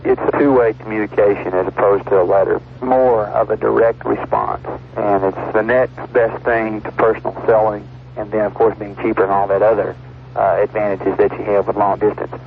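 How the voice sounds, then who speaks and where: A man's voice: on the low side, flat delivery, moderate pace, gravelly. A man speaking as a business customer in an interview-style testimonial for a vintage radio ad. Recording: tinny, lightly noisy vintage radio ad.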